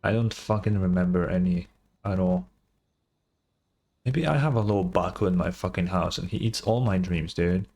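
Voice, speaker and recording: A man's voice: sits low, normal speed, gravelly, a little mellow, breathy edge, faint music behind. Blunt, casual man reacting to a game. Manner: blunt, sweary, informal.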